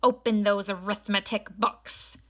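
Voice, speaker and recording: A woman's voice: mid-range voice, steady tone, measured pace, close to an everyday voice. A woman voicing a stern, menacing substitute teacher who snaps out commands in a children's storybook. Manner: snapping, commanding, unpleasant. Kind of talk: children's storybook read-aloud.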